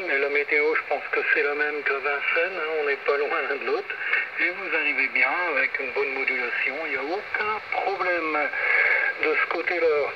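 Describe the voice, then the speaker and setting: Older guy rambling on ham radio, muffled signal, loud static all over it. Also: a little high. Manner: rambling, self-correcting, chatty. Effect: shortwave radio transmission. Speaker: amateur radio operator. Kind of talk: casual ham radio chat. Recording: muffled, very noisy shortwave radio reception. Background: loud radio noise.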